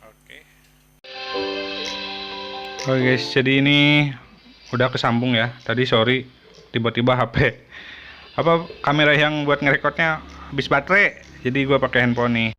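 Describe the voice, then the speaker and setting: A man chats casually to viewers in a product review, halting and thinking aloud in slangy, informal speech. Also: medium pitch, steady tone, bright, forceful tone, faint music behind.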